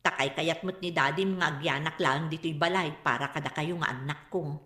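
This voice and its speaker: A woman's voice: low-pitched, moderate pace, sharp tone. An actress playing a proud, stubborn mother with plaintive, resistant delivery in a radio drama. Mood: wistful. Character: proud, stubborn mother, regretful about unfinished schooling. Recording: roomy, echoing drama recording.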